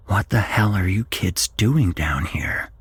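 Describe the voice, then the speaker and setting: An alarmed father from a horror story, acted by a male narrator. He is stern and urgent, questioning in a raspy, breathy voice. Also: low voice, slow, no room sound, pitched above the performer's own voice, heavily acted. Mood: scolding.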